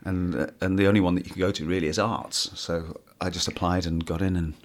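Male actor, interview guest, very low husky voice, meandering self-deprecating delivery. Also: fairly even intonation, fast talker. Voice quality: hoarse, husky when tired. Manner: rambling, restarts often, self-deprecating. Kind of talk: casual interview chat.

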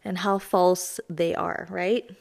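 A woman in her thirties hosting a self-help lesson podcast, her voice raspy as she gets over being sick, talking in a chatty, self-deprecating, explanatory way. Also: on the low side, little pitch movement, slow pace. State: raspy voice from recent illness. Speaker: podcast host.

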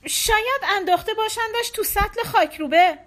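A woman's voice: extremely high pitch, big pitch swings, pitched above the performer's own voice. A woman voicing a distraught young wife grieving her stillborn baby, pleading and tearful. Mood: anguished, frantic. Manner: pleading, tearful, rising to cries.

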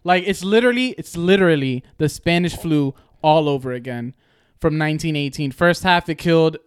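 A man's voice: a little high, a little fast, clean tone, no echo, studio quality. A young man co-hosting a casual podcast, talking bluntly with plenty of slang and swearing. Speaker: podcast co-host. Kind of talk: casual chat.

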